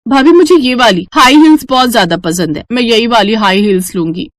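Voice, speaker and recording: A woman's voice: a little high, animated, fairly quick, no echo, lightly acted. A woman voicing a stubborn, hot-tempered, heavyset young bride-to-be in a cartoon story, indignant and whining. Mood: eager, insistent.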